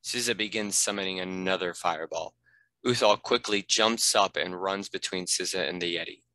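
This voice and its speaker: A man's voice: low-pitched, normal speed, sharp tone, no room sound, poor audio. A man reading stage directions as narrator, in a scripted narration style.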